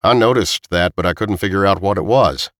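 A man voicing a gruff, impatient, commanding man in audiobook dialogue. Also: low-pitched, a little fast, a bit bright, dry recording, clean studio sound. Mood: grim, measured. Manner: growling, commanding.

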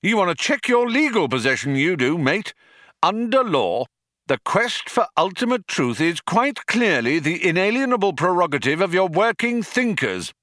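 A man's voice: sits high, very animated, normal speed, fairly bright tone, dry recording, studio quality, pitched above the performer's own voice. A man voicing an angry, pedantic older philosopher, indignant and argumentative like a stroppy union man.

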